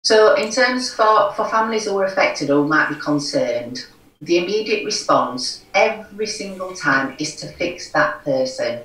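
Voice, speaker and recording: A woman's voice: on the low side, expressive, a little slow, fairly bright tone. A middle-aged woman with a broad Yorkshire accent, talking frankly and earnestly as an interview guest on a video call, full of "you know". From Yorkshire, England. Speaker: guest, recovery forum chair. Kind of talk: conversational interview. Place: home room. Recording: echoey room, far from mic.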